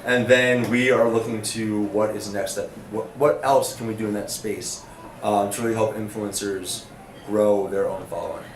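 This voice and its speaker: A man's voice: lowish voice, fairly even intonation, normal speed. A man speaking casually and upbeat as a panelist, with many fillers. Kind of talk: panel discussion. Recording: echoey room, faint noise.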